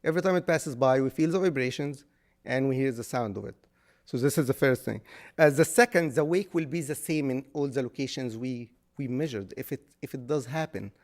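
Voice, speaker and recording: A man's voice: medium pitch, brisk. Man explaining vibration data at a hearing. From Egypt. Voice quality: loud voice. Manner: explanatory, with frequent restarts and fillers. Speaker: engineering consultant. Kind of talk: public hearing testimony.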